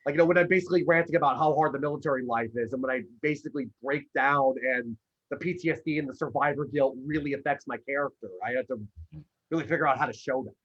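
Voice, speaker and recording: A man's voice: mid-range voice, fast, a bit bright. A man, an actor, chatting casually as an interview guest, heard through dull video call audio. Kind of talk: casual, conversational interview answers.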